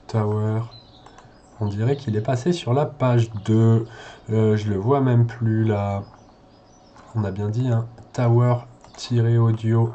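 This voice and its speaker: A man's voice: fairly low pitch, warm tone, muffled recording, a little hiss or hum. Man thinking aloud, conversational and hesitant. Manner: conversational, hesitant, often punctuating with check-in tags. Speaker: SEO consultant presenting a website audit. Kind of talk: unscripted screen-share commentary, thinking aloud.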